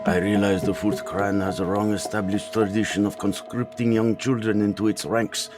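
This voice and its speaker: A man acts out an aged rat ninja master in a motion comic. The voice is low and grave, with formal, measured authority. Prominent music plays underneath. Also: natural voice. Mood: firm, calm. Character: old male mutant rat ninja master and father. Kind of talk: motion comic fan dub acting.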